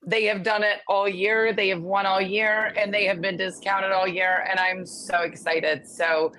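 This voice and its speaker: A woman's voice: medium pitch, level tone, unhurried, pushed and bright, poor audio. Gushing woman sports co-host. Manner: enthusiastic, gushing fan. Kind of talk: casual sports chat.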